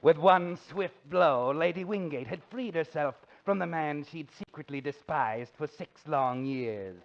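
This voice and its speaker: An actor playing an outrageous, sinister mystery narrator in 1940s radio comedy, theatrical and lurid. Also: male voice, on the high side, a little slow. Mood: melodramatic menace. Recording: dull, gravelly old 1940s radio recording.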